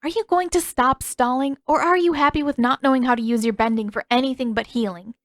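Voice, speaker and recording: A woman's voice: sits high, a little fast, dry recording, studio quality, pitched above the performer's own voice, lightly acted. A woman voicing a stern, impatient teenage girl who teaches and is easily flustered, in emphatic audiobook dialogue.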